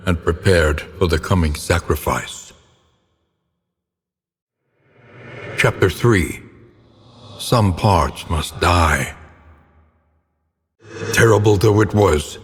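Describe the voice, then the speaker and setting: An actor playing a grave, ominous narrator of gothic horror tales in a video game, with a solemn, theatrical and deliberate delivery. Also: male voice, deep voice, slightly rough, heavily acted. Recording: long cavernous reverb, noticeable background noise.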